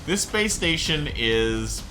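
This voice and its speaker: A man's voice: on the high side, sing-song, takes it slowly. A man giving casual, rambling video game commentary, drifting off into asides. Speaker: gameplay commentator. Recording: music behind the voice. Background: game music.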